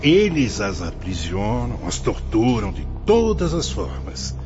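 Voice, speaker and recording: An actor playing a grave spirit friar in a radio serial, explaining with solemn, declamatory fervour. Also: male voice, fairly low pitch, wildly swooping pitch, slow, heavily acted. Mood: grave, ominous. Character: grave, fervent male spirit friar guiding visitors. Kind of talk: dramatic radio play acting. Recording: dull lo-fi audio under loud music.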